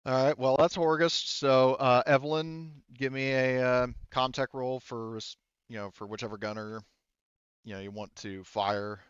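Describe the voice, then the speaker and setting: A man acts as game master for a tabletop session. His voice is clean and smooth, and he thinks aloud in stops and starts as he checks rules. The sound is close and dry, a little muffled. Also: medium pitch, steady tone, normal speed, no echo. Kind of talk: casual tabletop game refereeing.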